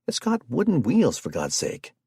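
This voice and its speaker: A man voicing an excitable, geeky young man who rambles in a high, theatrical voice. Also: normal speed, breathy edge, no echo, heavily acted.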